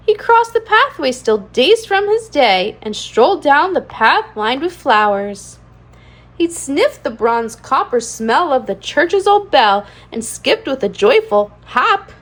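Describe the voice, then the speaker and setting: A woman with a high voice reads a picture book to young children. Her delivery swings widely up and down, with a singsong rhyming cadence and plenty of expressive emphasis on key words. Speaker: children's read-aloud channel host. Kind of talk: animated storybook read-aloud for young children.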